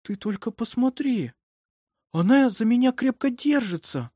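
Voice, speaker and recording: Lady reader as fussy soft-hearted lady, high husky voice, very expressive. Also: a little slow, no echo, studio quality. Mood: amazed. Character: Karin, fussy lady softening into tenderness.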